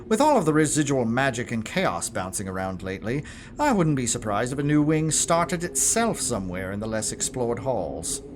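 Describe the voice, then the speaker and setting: A man's voice: medium pitch, very animated, normal speed, noisy background, lightly acted. A man playing a centuries-old museum curator in an audio drama, put-upon, fussy and given to sighing. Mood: weary exasperation.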